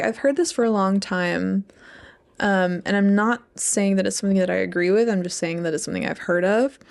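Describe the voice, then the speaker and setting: Creaky-voiced young woman chatting casually. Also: mid-range voice, a little mellow. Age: young adult. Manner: informal, hedging, swears casually. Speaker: podcast co-host and PhD student. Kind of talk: casual podcast discussion.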